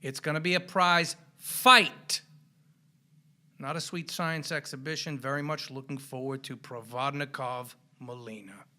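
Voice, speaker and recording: A man's voice: medium pitch, steady tone, a little slow, sharp tone. A man hosting a sports podcast, giving commentary in a punchy, colloquial way with showy flourishes. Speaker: podcast host.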